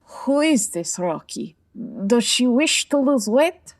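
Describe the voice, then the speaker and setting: A woman playing a proud, wounded middle-aged Turkish bathhouse owner in an audio drama, measured and dramatic. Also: fairly high pitch, sing-song, heavily acted. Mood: cool, suave.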